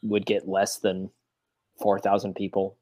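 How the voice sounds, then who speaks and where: A man's voice: low voice, completely flat delivery, unhurried, a little mellow, dry recording. A man co-hosting a soccer fan podcast, giving his views in a casual chat.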